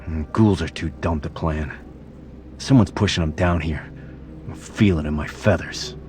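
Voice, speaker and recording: A man's voice: very deep voice, unhurried, a little mellow. An actor voicing a wisecracking, wary mutant duck man with a skeptical manner in a video game. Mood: wry, uneasy. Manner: sarcastic, skeptical. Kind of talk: video game character dialogue. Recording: voice under game music.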